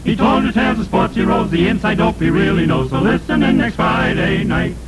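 Old radio jingle singer, guy with a higher voice, really loud music with him. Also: big pitch swings, a little fast, poor audio. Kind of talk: 1940s sung radio jingle.

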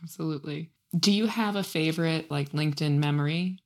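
A woman's voice: low-pitched, a little fast. A woman hosting a casual interview, easygoing and chatty, often saying "like" and "right?". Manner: relaxed, frequent "like" and "right?". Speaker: interview host.

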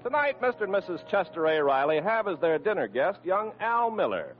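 A man's voice: high-pitched, sing-song. A male radio announcer reading in an emphatic, theatrical 1940s sponsor style. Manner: emphatic, theatrical, drawn-out delivery. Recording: dull old radio broadcast. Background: music.

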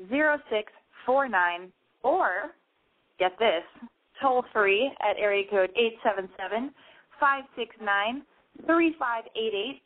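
Woman host with a lisp, rambling and self-deprecating, tinny phone-line audio. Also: fairly high pitch. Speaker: radio show host. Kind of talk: casual call-in show monologue.

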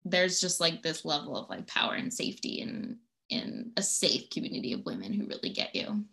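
A woman's voice: low voice, very animated, a little fast, gravelly, sharp tone. A woman in her thirties, a guest on a podcast, chatting casually and with enthusiasm, laughing between phrases. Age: 30s. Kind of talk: casual chat.

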